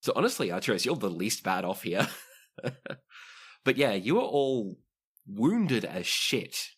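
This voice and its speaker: A man with a low voice acts as game master for a tabletop roleplaying game. He narrates scenes and explains the rules to his players. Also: very animated, a little slow, a bit bright, breathy edge.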